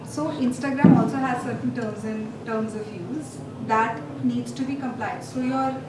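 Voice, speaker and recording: A woman's voice: a little high, distant mic. Indian woman presenting in an echoey hall. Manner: measured, explanatory, frequent uh fillers. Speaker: legal expert speaker. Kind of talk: conference presentation. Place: large conference hall. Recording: echoey hall, speaker off-mic, faint noise.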